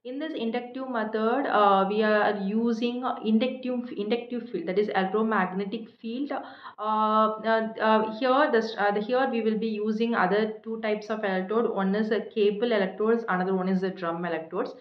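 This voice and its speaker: A woman lecturer with an Indian accent gives an explanatory technical lecture in a halting, hesitant way, repeating and rephrasing as she goes. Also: mid-range voice, steady tone. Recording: echoey room, dull muffled sound.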